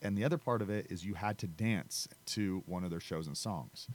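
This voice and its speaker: A man's voice: low voice, brisk. A man, a country singer, chatting casually as a podcast guest and telling self-deprecating stories. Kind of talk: casual interview chat.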